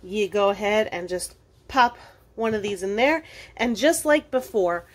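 Lady doing a cooking how-to in her kitchen, chatty explainer, mid-pitched voice, talks while she works. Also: unhurried. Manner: casual, explanatory, talking while working with hands. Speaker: cooking demonstrator, host of a library cooking show. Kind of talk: informal step-by-step cooking tutorial. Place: indoors at a kitchen counter.

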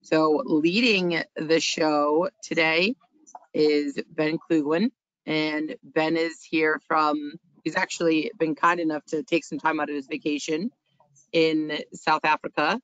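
Brisk woman hosting a video-call webinar. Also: deep voice, level tone, a bit bright, no echo. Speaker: webinar host. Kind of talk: webinar introduction. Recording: dry, slightly dull video-call audio.

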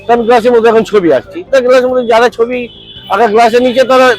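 A man's voice: very high voice, steady tone, very fast, soft background music, poor audio. A middle-aged man with a Kolkata Bengali accent giving informal interview answers in a conversational way, checking that the listener follows. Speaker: coffee stall owner and cartoonist.

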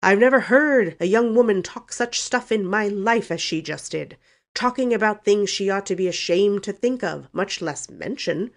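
A woman voicing a blustering, scornful older uncle in a storybook, with a gruff, snorting, emphatic delivery. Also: mid-range voice, animated, normal speed, pitched above the performer's own voice, close to an everyday voice. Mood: angry, blustering. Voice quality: gruff, growling.

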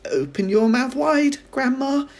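A man's voice: very high voice, sing-song, a little slow, pitched above the performer's own voice, full character voice. A man voicing a polite, cheeky young grandson in a children's storybook reading. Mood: eager. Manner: polite, earnest.

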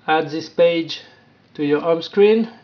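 Slow-paced, higher-pitched man giving a tutorial. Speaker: tutorial presenter. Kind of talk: informal step-by-step app tutorial narration. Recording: dull, lo-fi sound.

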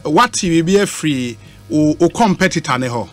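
Male radio host, middle-aged, Ghanaian accent, slightly high pitch, halting questions in a live interview. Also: lively intonation. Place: small radio studio. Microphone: studio microphone with headset.

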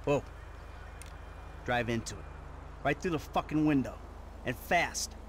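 A man's voice: medium pitch, unhurried, natural voice. An actor playing a jaded, hot-tempered, wealthy middle-aged American man in a video game, sardonic, threatening and profane. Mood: cold menace. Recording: game audio over car and street noise.

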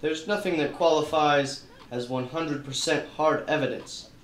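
A man's voice: on the high side, measured pace, a bit bright, echoey room. A man narrating and acting as a wisecracking detective in a church radio play. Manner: wisecracking, hard-boiled narration.

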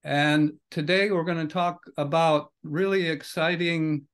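A man's voice: on the high side, fairly even intonation, a little slow, fairly bright tone, clear, resonant tone. An older man hosting a podcast over a video call from a home study, speaking conversationally with loose phrasing and hedges. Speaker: podcast host. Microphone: video call webcam.

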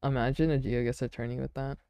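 A woman streamer chatting casually with friends while she draws, relaxed and laughing often with lots of fillers. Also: very deep voice, brisk, smooth, dry recording. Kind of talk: casual chat while drawing.

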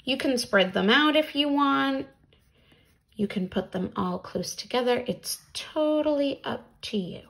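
A woman in her thirties, speaking deliberately as a teacher presenting read-alouds and crafts for children, recorded in a small room at home. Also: high voice, animated, gravelly, fairly bright tone.

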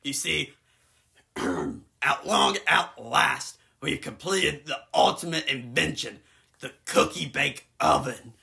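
A man's voice: a little high, sing-song, slow, pushed and bright, full character voice. A man voicing a bumbling, greedy mad scientist villain in a comedic game fan dub, strained, blustering and easily confused. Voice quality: gravelly, strained. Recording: game music behind.

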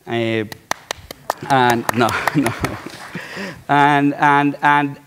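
A man's voice: medium pitch, steady tone, drawn-out words, very noisy. A man in his forties from Puerto Rico, speaking as a guest on a conference stage, talking conversationally with many restarts and repeated words. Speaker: guest entrepreneur. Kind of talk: conference fireside chat. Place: large auditorium stage.

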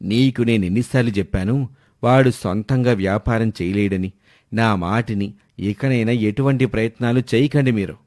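A man's voice: fairly low pitch, normal speed, a little mellow, no room sound, clean studio sound, close to an everyday voice. A man playing a stern, exasperated but yielding father, reproachful and grumbling, in a moral story.

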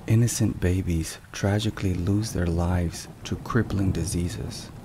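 A man giving a scripted, solemn ASMR devotional narration in a soft, measured and deliberate way. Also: sits low. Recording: steady rain and thunderstorm noise. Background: rain and thunder.

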